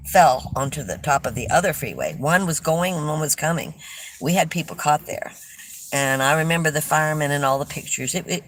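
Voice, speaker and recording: An older woman from California, hosting a casual livestream, chatting with viewers and telling stories in a loud voice. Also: sits low, slight background noise. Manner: chatty, warm storytelling with restarts. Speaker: livestream host. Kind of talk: casual livestream chat reading viewer comments.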